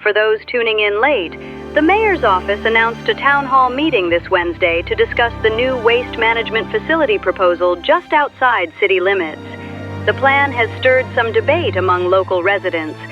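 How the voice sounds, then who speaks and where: An actress playing an upbeat small-town local radio news anchor, with an American accent and polished chirpy delivery. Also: female voice, a little high, lively intonation, moderate pace, natural voice. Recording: dull radio broadcast, music behind.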